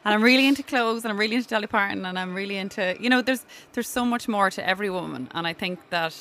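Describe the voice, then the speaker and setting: A young Irish woman chatting as a podcast guest, animated and emphatic, laughing often. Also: mid-range voice, fast talker, a little hiss or hum. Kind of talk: casual chat.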